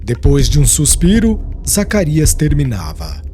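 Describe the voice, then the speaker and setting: A man's voice: on the high side, huge pitch swings, measured pace, warm tone, faint music behind. A man narrating an audiobook in a solemn, literary, dramatic style.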